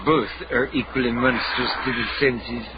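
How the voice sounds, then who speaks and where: A male actor plays a delicate, squeamish count with formal, precise speech. His voice is mid-pitched. The radio drama recording is muffled, with loud noise behind him. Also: fairly even intonation, a little slow, lightly acted. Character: fastidious, squeamish count exiled from Paris.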